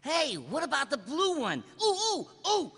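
A man's voice: very high voice, wildly swooping pitch, normal speed, exaggerated voice. A man voicing a fussy, bossy, giggly cartoon uncle in a live script read. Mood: bossy, excitable. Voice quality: bright, forceful. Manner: exaggerated, breaking into giggles.

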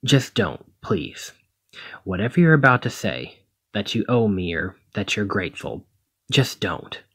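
A man playing a protective, conflicted demigod hero in a one-sided ASMR roleplay, speaking emotively and haltingly to the listener. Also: fairly low pitch, sing-song, fairly bright tone, breathy edge, full character voice. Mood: resigned, hurt. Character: brawny male demigod hero, protective, conflicted, exasperated lover.

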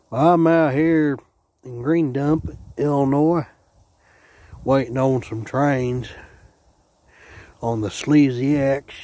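A man, hoarse and losing his voice, chatting in a folksy, joking way while vlogging on a phone held at arm's length. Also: medium pitch, a little mellow. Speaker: railfan vlogger. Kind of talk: casual folksy vlog. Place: outdoors by a car, then indoors at home.

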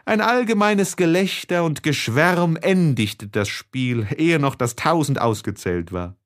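A man narrating a literary audiobook in an expressive, measured, dramatic way. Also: fairly high pitch.

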